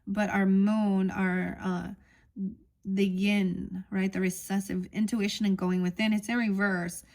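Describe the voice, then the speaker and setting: A woman's voice: mid-range voice, flat delivery, unhurried, a little mellow. A woman giving a casual oracle card reading, musing aloud and reading the card texts. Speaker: tarot reader.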